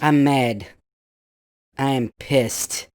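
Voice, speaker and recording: A man talking through a pitched-up voice changer that makes him sound like a small child, complaining irritably and swearing as he starts telling his story. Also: drawn-out words, no echo, clean studio sound. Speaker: guest storyteller. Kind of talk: casual chat.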